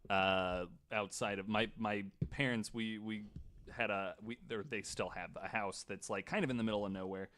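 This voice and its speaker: A man's voice: on the low side, fairly even intonation, normal speed, a bit bright. A man hosting a podcast in casual, informal conversation, with some offhand swearing. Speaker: podcast host. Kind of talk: casual panel chat.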